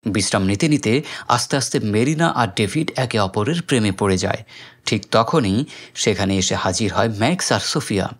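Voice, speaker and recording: A man's voice: on the low side, lively intonation, moderate pace. A man with a Bangladeshi accent narrates a film recap as scripted storytelling.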